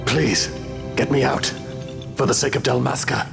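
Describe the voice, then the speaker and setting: An actor playing a grave, earnest, disgraced army captain in a video game, speaking in a formal, measured way. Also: male voice, medium pitch, fairly even intonation, gravelly, over music. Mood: pleading. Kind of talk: video game cutscene acting.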